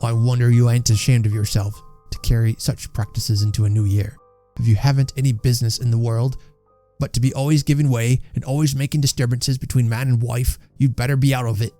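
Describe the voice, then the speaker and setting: A man voicing a stout, bullying landlord in a story, softly menacing, sarcastic and scolding. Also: mid-range voice, little pitch movement, fairly quick, soft background music, close to an everyday voice. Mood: scolding menace. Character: smug, bullying stout landlord feigning soft politeness.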